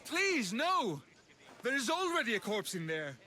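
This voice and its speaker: High-pitched, excitable Greek temple priest. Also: male voice, a little slow, slight background noise, full character voice. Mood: panicked, pleading. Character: skittish, surly male priest at an ancient Greek sanctuary. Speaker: game character, priest. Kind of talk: dramatic video game acting.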